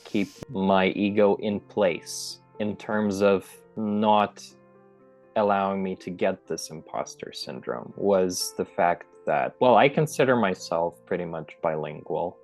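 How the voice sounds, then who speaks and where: Very deep-voiced man, thoughtful and wordy. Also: slow, darkish tone, soft background music. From Belarus. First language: Russian. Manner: thoughtful, wordy, hesitant with fillers. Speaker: English teacher, guest. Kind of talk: casual conversation.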